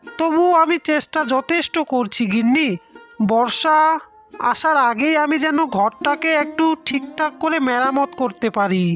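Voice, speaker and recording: A woman's voice: sits high, a little slow, fairly bright tone, soft background music. A woman voicing a poor, overworked village husband in a cartoon tale, plaintive and dutiful. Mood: troubled, earnest. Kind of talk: Bengali cartoon fairy-tale voice acting.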